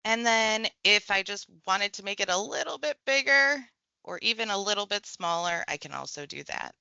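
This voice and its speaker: Bright-voiced woman giving a software tutorial. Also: medium pitch, animated, moderate pace, creaky, clear, resonant tone, dry recording, highs cut off. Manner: conversational, walks through clicks step by step. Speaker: tech trainer. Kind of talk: screen-share software tutorial.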